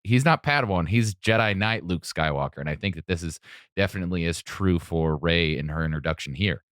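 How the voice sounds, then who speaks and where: A man's voice: deep voice, expressive, a little fast, a bit bright, no room sound, clean studio sound. A man hosting a podcast, talking through a film in a conversational, analytical way. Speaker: podcast host. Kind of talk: casual film discussion.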